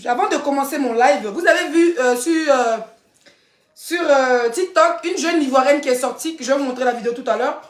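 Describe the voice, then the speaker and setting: A woman's voice: high voice, fairly quick, roomy sound. A woman, hosting a rambling gossip live stream and often laughing mid-sentence. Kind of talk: casual live-stream commentary.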